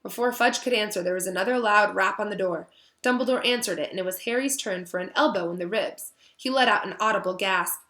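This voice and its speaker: A woman's voice: medium pitch, fairly quick, a bit bright. A woman teacher reading a novel aloud to her students, chatty and enthusiastic. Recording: echoey room.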